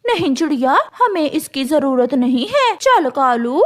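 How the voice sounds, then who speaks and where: A woman's voice: high voice, very animated, measured pace. A woman voicing a greedy, scheming female crow in a cartoon story, with a whiny, wheedling manner. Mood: falsely sweet. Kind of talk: cartoon moral story dialogue.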